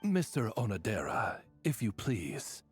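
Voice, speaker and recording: A very husky male raven familiar from a video game. He speaks with courtly deference and formal phrasing, his pitch moving expressively. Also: huge pitch swings, a little slow, faint music behind, full character voice. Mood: courteous, measured. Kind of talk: video game character dialogue.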